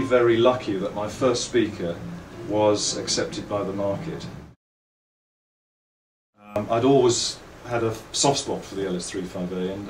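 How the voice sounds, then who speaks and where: A middle-aged man with an English accent, giving reflective, articulate interview answers in measured phrases and telling anecdotes as a company owner and designer. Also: mid-range voice. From England. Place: indoors at a trade show. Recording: roomy, distant mic, faint background noise.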